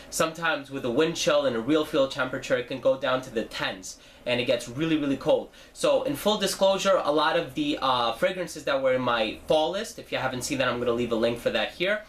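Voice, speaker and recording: A man's voice: mid-range voice, a bit bright, a little hiss or hum. A young man with a stuffy nose from a cold, hosting a casual fragrance review channel and talking in a chatty way with many fillers. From New Jersey. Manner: chatty, with frequent fillers and self-corrections.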